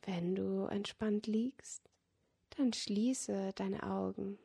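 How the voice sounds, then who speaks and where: A woman leading a guided yoga nidra relaxation as a meditation guide, speaking in a gentle, soothing manner that often drops close to a whisper. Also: medium pitch, fairly even intonation, very slow, vocal fry, husky. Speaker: meditation guide and podcast host.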